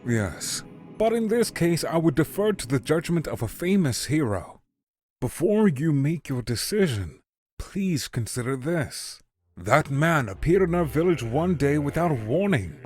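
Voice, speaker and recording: A man voicing a polite, earnest male village mayor who speaks in a courteous, measured way. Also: on the high side, very animated, faint music behind.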